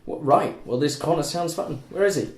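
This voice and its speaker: A sociable young male party host, played by a man at a table read, wry and exasperated. His voice sits a little high. The room is clearly echoey. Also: moderate pace, a little hiss or hum, close to an everyday voice. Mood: friendly, wry. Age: young adult. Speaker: student cast member at a table read. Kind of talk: script read-through. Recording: roomy, echoing.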